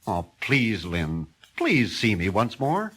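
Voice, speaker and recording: Man doing a desperate young guy begging, stammers, voice jumps way up, bit husky. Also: on the low side, huge pitch swings, slow, fairly bright tone, heavily acted. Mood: urgent, pleading. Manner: urgent, stammering, insistent. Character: eager young man, desperate, insistent suitor.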